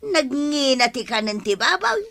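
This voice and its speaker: A stingy village wife with an Ilocano accent. Her voice sits high and is bright and piercing. She grumbles and exclaims in a radio comedy drama style. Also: sing-song, measured pace, vocal fry, heavily acted. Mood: indignant. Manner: exclaiming, complaining.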